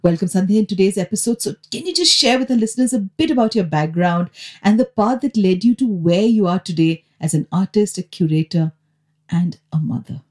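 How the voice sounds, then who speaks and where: A woman's voice: medium pitch, fairly quick, darkish tone, no echo, studio quality. A woman hosting a podcast, presenting a guest in a scripted, formal introductory style. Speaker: podcast host. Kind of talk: scripted introduction and interview question.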